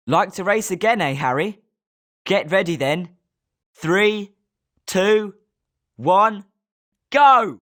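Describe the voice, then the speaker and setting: A man's voice: high voice, a bit bright, exaggerated voice. A man playing a British schoolboy wizard in a video game, speaking scripted lines expressively. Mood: eager. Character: schoolboy wizard student, polite but sometimes sneering.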